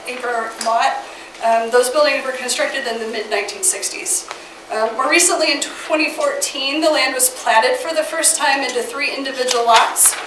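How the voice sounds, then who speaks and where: Woman with a scratchy cold voice presenting. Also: sits high. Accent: American Midwest. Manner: informative, steady briefing with fillers. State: getting over a cold, scratchy voice. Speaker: city planning staff. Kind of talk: staff report presentation. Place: council chamber. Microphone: far from the mic. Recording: echoey, distant, noisy chamber audio.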